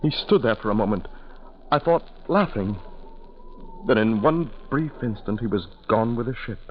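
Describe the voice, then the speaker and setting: A man acting a shaken ship's passenger in a 1940s radio drama, speaking in a halting, dramatic way on a scratchy, tinny recording. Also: on the low side, lively intonation, natural voice. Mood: haunted. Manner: dramatic, halting, drawn-out delivery. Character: shaken male ship's passenger recalling a horrifying tragedy.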